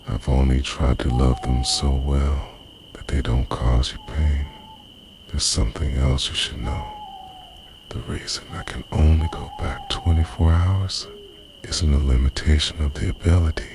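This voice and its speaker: Very deep, half-whispering devoted boyfriend played by a man. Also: wildly swooping pitch, noisy background, full character voice. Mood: tender, reassuring. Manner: intimate, half-whispered, earnest, deliberate. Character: obsessively devoted time-travelling boyfriend, tender and confessional. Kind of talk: ASMR boyfriend roleplay, scripted monologue. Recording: night crickets and owl behind voice. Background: night insects, crickets and hooting owl.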